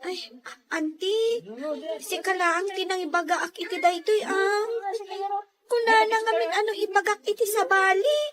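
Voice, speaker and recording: A woman's voice: very high-pitched, steady tone, a little slow, over a noisy crowd. A woman playing a meek, overworked young girl in a family drama, with a childlike, plaintive way of talking. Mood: fearful, pleading. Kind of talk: Ilocano audio-visual family drama acting.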